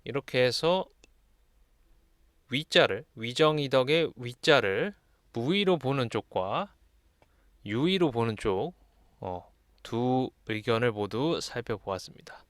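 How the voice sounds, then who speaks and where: A man giving an explanatory lesson, reading texts aloud and commenting on them in a measured, didactic way. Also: mid-range voice, no echo, clean studio sound. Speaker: lecturer.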